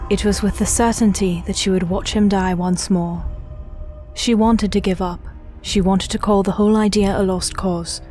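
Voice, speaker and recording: A woman's voice: medium pitch, darkish tone. A woman giving dramatic scripted narration for an audio drama. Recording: faint music behind.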